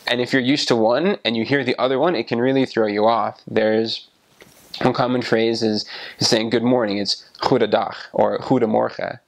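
A man in his twenties talking casually to the camera about his missionary experience, relaxed and often restarting his sentences, recorded on a lapel mic in a small room. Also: mid-range voice, moderate pace, creaky. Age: 20s. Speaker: returned missionary. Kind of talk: casual explanatory talk to camera. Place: small indoor room by a window.

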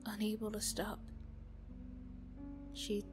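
A woman tells a story as narrator of an ASMR roleplay. Her delivery is soft and hushed, at a medium pitch, with faint music playing behind her. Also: completely flat delivery, slow pace.

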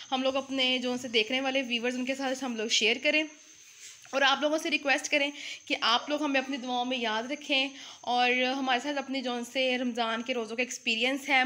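A young woman from Pakistan hosts a casual home vlog, her voice pitched high and bright. She chats on freely, addressing viewers directly and filling pauses with small words. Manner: chatty, talks straight to viewers, many fillers. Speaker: channel host.

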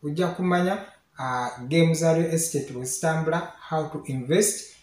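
A man's voice: fairly high pitch, moderate pace, darkish tone. A man in his thirties with a Ugandan accent, giving informal, explanatory tips as a property consultant, often checking in with short questions. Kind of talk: informal instructional talk, mixing two languages. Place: small indoor office with hard walls. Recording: echoey small room.

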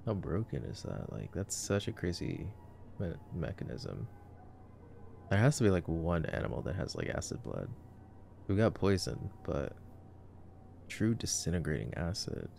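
A man's voice: deep voice, very animated, a little slow, gravelly, pauses often, warm tone, a little hiss or hum. A young man giving casual ASMR movie commentary in a laid-back, joking, murmured way. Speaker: ASMR boyfriend roleplayer commenting on a film.

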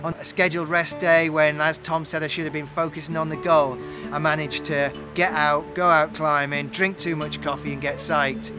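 A man with a fairly high voice tells the story of his climbing training, candid and self-deprecating. Clear music runs behind the narration. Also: level tone, moderate pace, hardly any pauses. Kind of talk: narrated voice-over and casual chat.

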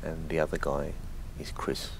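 Loud chatty guy calling snooker, low raspy voice. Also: flat delivery, moderate pace. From Greek heritage. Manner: loud, chatty, repeats words. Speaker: snooker commentator. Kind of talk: live stream commentary. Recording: noisy live stream audio.

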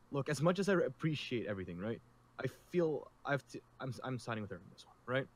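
Man acting a reasonable survivor, medium pitch. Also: sing-song, fast talker. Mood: persuasive. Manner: persuasive, conciliatory. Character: reasonable male survivor, loyal to the group. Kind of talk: improvised roleplay acting.

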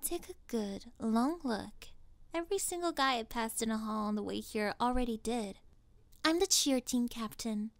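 High-pitched smug mean cheerleader girl played by a woman. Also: lively intonation, moderate pace, gravelly, no echo. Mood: haughty, threatening. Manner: condescending, giggly, saccharine pet names. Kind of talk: scripted ASMR roleplay acting.

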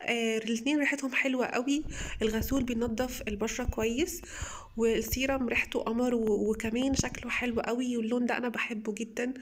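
A young woman with an Egyptian Arabic accent, slightly hoarse from a cold, chatting enthusiastically to viewers as she reviews beauty products at home. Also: fairly high pitch, fairly even intonation, fast, slight background noise. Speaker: beauty vlogger. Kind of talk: casual product haul review.

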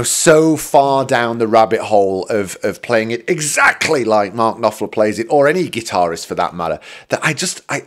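A middle-aged man from the UK giving a casual guitar lesson in a small home studio, chatty and self-deprecating, with plenty of fillers. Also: mid-range voice. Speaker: guitar teacher. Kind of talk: casual spoken tutorial. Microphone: studio condenser mic in front.

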